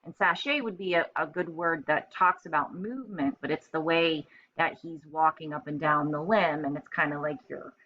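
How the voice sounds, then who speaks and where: A woman with a fairly low voice reads a children's story like a teacher. She thinks aloud in simple, instructive asides. The recording is slightly dull and lo-fi. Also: moderate pace, hardly any pauses, sharp tone. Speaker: teacher reading aloud to pupils. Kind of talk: storybook narration with explanatory asides.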